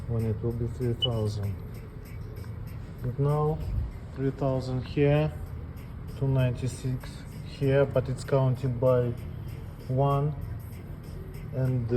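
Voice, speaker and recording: A man giving an unscripted step-by-step equipment tutorial as an instructor, speaking plainly and haltingly in short phrases. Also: mid-range voice, little pitch movement, noisy background. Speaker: instructor demonstrating equipment calibration.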